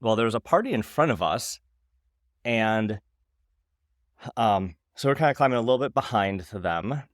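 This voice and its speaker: Animated man in his forties telling a story on a podcast, laughing often and jumping to excited highs. His voice is mid-pitched and recorded dry and close, with no room sound. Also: normal speed, long pauses, dry recording. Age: 40s. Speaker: podcast co-host. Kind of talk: casual chat.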